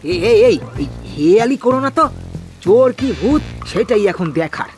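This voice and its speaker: A man's voice: sits high, lively intonation, unhurried, darkish tone, soft background music, pitched above the performer's own voice. A man voicing an anxious, grumbling village fish farmer in a horror cartoon, exclamatory and stammering, repeating his words. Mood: determined, flustered. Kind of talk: Bengali horror cartoon dialogue.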